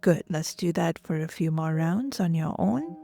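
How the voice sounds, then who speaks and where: A woman leading guided relaxation and breathwork as a yoga instructor, gentle and soothing, often trailing off into a breathy near-whisper. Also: fairly low pitch, lively intonation, moderate pace. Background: soft music.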